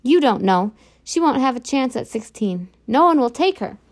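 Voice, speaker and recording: Tearful mother figure, loud and raised, pleading and sputtering, gravelly edge, as a woman narrator puts her on. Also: a little high, expressive, moderate pace. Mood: tearful, desperate. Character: distraught mother, middle-aged, fiercely protective.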